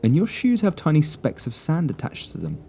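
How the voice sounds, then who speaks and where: Dude doing a cocky young detective, calm and smug, bouncy delivery, kinda dark tone. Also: male voice, on the high side, brisk, vocal fry, breathy edge, voice pushed up, full character voice. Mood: confident. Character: clever young male sleuth, calm and smug.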